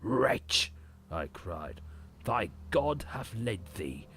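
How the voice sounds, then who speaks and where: A man narrating gothic horror poetry as a dramatic audiobook, theatrical, with drawn-out phrases and sudden swells. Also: medium pitch, animated, husky. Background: dark ambient game soundtrack music.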